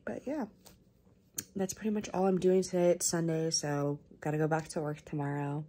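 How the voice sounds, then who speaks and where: A woman's voice: low voice, little pitch movement, moderate pace, dark tone. A woman in her twenties with an American accent, chatting casually to viewers as she vlogs at home on a handheld camera. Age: 20s. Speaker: vlogger. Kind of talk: casual vlog chat to viewers. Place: indoors at home, kitchen and living room. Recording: clear household background noise.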